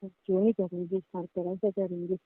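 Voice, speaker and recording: A woman's voice: on the low side, little pitch movement, normal speed, no echo, lightly acted. A woman playing a young, compliant and coy daughter-in-law in a casual phone-call role-play, giving brief agreeable replies and hums. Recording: tinny phone-line call recording.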